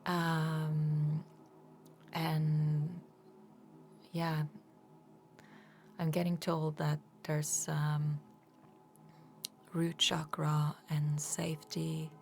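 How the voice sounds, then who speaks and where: Slow, low-voiced woman guiding a meditation. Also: completely flat delivery, gravelly, darkish tone, a little hiss or hum. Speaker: energy healer leading guided meditation. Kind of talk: guided meditation.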